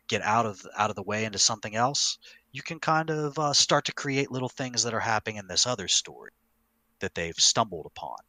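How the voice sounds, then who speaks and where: Bright, low-pitched man explaining game storytelling. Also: dry recording, rough recording. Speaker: podcast host and game master. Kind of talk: casual podcast talk, explaining tabletop game storytelling.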